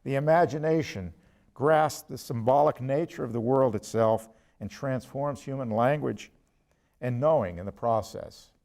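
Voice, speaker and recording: An older man, a professor, lecturing from a prepared text in a deliberate, measured way with emphatic pauses, quotations and asides. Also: mid-range voice, gravelly, darkish tone. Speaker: ethics professor giving a lecture.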